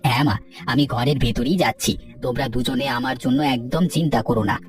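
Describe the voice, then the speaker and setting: A man as quick-talking polite young son. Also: mid-range voice, steady tone, distant mic, soft background music, natural voice. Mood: caring, polite. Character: polite, reassuring young son back from city. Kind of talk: Bengali cartoon story dialogue.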